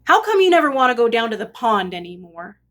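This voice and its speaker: A woman's voice: a little high, very animated, quick pace, a bit bright. A woman voicing a hapless, polite storybook prince who pleads and gets flustered. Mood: unhappy, eager. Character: hapless, polite, whiny male fairy-tale frog prince.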